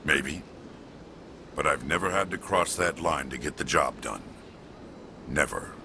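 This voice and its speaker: A gravelly, very deep alien police chief. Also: male voice, expressive, unhurried, noisy background, full character voice. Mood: firm. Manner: blunt, clipped, disapproving. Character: stern, distrustful veteran alien police chief. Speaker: head of citadel security. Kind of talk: video game dialogue. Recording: music behind the voice.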